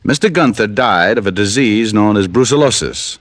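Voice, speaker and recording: An actor playing a hard-boiled American private detective in an old-time radio drama, wry, clipped and pushy. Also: male voice, lowish voice, expressive, normal speed, dry recording, studio quality, natural voice. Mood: cool, pressing.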